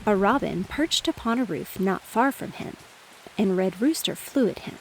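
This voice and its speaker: A woman reads a scripted children's bedtime tale. Her pitch is mid-range and moves expressively up and down. Rain and a faint hiss sit behind the narration. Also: lively intonation, moderate pace, rough recording. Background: rain, thunder and soft hiss.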